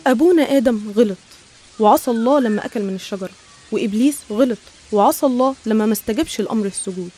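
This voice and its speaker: A woman narrator with an Egyptian accent tells and explains a scripted animated story. Her voice is fairly high and mellow, and she moves along quickly. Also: husky.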